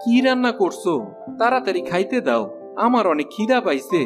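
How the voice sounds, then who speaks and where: A man's voice: sits high, very animated, brisk, over music. A man with a rural Bangladeshi accent playing a humble, forgiving poor village husband in a cartoon, plain-spoken and deferential. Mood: earnest. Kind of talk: cartoon dialogue acting.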